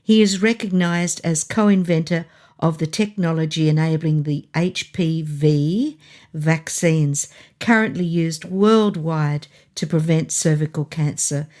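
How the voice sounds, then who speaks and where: Woman, interview host, somewhat low pitch, formal reading, chatty questions. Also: a little slow, a little mellow. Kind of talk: interview hosting, reading an introduction.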